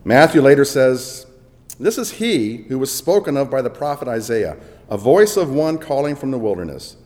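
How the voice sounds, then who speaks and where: A man preaching a sermon with dramatic swings between booming emphasis and hushed asides, in a church. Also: big pitch swings, moderate pace. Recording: long echo of a large hall.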